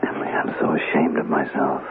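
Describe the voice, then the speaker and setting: An actor playing a smooth, vain politician who is privately snobbish and anxious, in a radio drama. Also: male voice, very deep voice, little pitch movement, quick pace. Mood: ashamed, uneasy. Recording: narrowband, noisy old radio recording.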